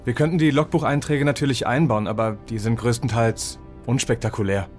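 A man's voice: medium pitch, fairly even intonation, brisk, soft background music, natural voice. A man playing a nervous whistleblower. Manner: nervous, hushed, evasive. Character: nervous male employee leaking secrets.

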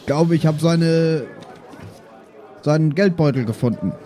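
A man's voice: fairly high pitch, measured pace, warm tone. A man playing a tabletop audio game, improvising casually and hesitantly as he searches for words. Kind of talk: improvised casual role-play.

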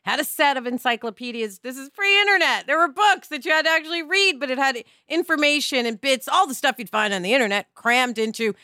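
A woman co-hosting a casual podcast discussion, animated and opinionated, stressing her points. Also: high voice, a little fast, hardly any pauses, no room sound, clean studio sound. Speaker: podcast co-host.

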